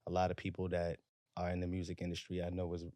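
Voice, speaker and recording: A man's voice: sits low, completely flat delivery, fast, mellow, no echo. A man from Harlem with a New York accent, a music artist talking casually as a podcast guest, slangy and laid-back, often repeating himself. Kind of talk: casual conversation.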